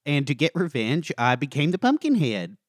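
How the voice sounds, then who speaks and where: A man voicing a gentle, sweet-talking monster who calls everyone sugar and sweetheart in a slow, syrupy drawl. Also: a little high, big pitch swings, dry recording, clean studio sound. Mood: sugary. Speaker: comedy improviser.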